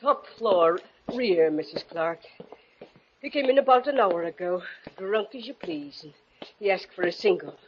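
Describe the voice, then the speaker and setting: A woman's voice: medium pitch, lively intonation, unhurried, natural voice. Woman playing chatty landlady on muffled old radio. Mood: gossipy, confiding. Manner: chatty, confiding. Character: gossipy, fussy boarding-house landlady. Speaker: actor. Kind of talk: 1940s radio drama acting. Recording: muffled, hissy vintage radio recording.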